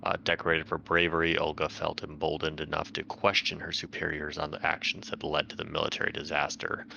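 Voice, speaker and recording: Male tabletop player, bottomless creaky voice with a forceful edge, reading game notes aloud. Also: very deep voice, monotone, fairly quick, lo-fi sound. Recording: faint background noise.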